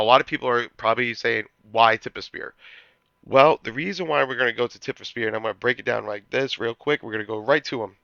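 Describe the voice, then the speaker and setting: A man hosting a gaming channel, talking through a strategy walkthrough while getting over a cold, now and then clearing his throat and restarting his sentences. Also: medium pitch, bright, forceful tone, no echo, lo-fi sound. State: recovering from a cold.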